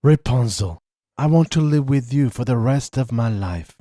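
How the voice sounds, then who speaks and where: A man's voice: medium pitch, expressive, moderate pace, warm tone, dry recording, clean studio sound, faint music behind, lightly acted. Guy doing a young fairy tale prince for a cartoon, romantic n earnest, kinda dramatic. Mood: tender, earnest. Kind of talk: cartoon character acting.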